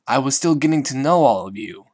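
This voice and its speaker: Brief: man voicing a reformed, guilt-ridden teen girl, hesitant and soft-spoken, storybook reading. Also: mid-range voice, lively intonation, brisk, no room sound, clean studio sound, close to an everyday voice. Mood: defensive. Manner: hesitant, quiet and defensive.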